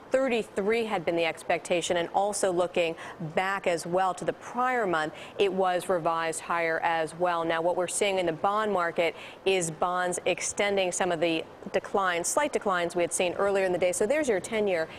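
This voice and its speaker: A woman's voice: lowish voice, a little hiss or hum. A woman presenting live financial news and interviews as a broadcast anchor.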